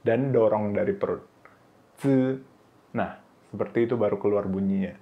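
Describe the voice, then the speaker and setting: A man teaching Mandarin pronunciation in a casual, instructive way, often saying "oke" and "nah", and sounding out syllables in high, drawn-out bursts. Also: narrow, tinny audio. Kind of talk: pronunciation lesson video.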